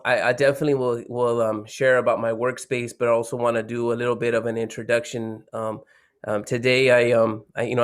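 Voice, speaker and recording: A man from San Diego introduces himself on a panel, speaking haltingly and circling back to restart his thoughts. His delivery is flat, with very little rise and fall in pitch. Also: clear, resonant tone. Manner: halting, many fillers and restarts, warm reflective. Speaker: educator, panel guest. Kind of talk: unscripted introduction.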